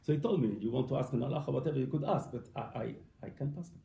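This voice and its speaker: Gravelly, halting rabbi with a dark voice. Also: male voice, medium pitch. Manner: halting, repeats words, mixes Hebrew and Yiddish terms. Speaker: rabbi teaching family purity law. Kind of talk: informal lesson. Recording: echoey room, faint background noise.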